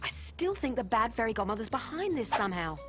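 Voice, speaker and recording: Female cartoon detective: fairly high, very animated, brisk, practical, questioning. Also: music in the background. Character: brisk, no-nonsense female fairy-tale police detective.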